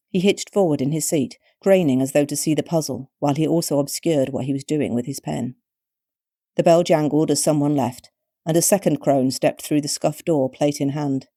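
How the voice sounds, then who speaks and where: A British woman narrating her own short story with measured, wryly expressive storytelling. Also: deep voice, dry recording, studio quality. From Britain.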